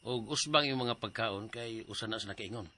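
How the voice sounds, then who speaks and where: A brisk, bright-voiced doctor. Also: male voice, lowish voice. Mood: explaining. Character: calm male doctor giving diagnosis. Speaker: doctor in radio drama.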